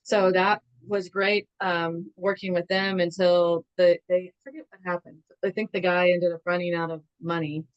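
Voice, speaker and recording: A woman's voice: fairly low pitch, little pitch movement, normal speed, hardly any pauses, smooth, muffled recording. A woman from the American Midwest, a podcast guest, chatting about her background with many fillers. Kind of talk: casual interview storytelling.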